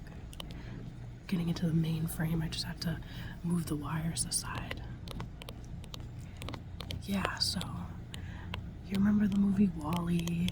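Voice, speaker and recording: A woman whispering breathily in a soft, soothing, halting way as she improvises an ASMR roleplay as a gentle scientist. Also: on the low side, level tone, noisy background. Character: gentle scientist tending a robot. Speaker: ASMR performer.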